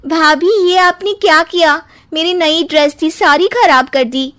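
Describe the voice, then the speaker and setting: A woman voicing a sulky young sister-in-law who whines and complains in a raised, high voice. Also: unhurried, a bit bright. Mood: complaining.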